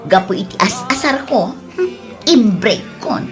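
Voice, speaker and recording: A woman acting a softly persistent, pleading mistress in an Ilocano audio drama, with a Filipino accent. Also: a little high, sing-song, unhurried, over music. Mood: confiding.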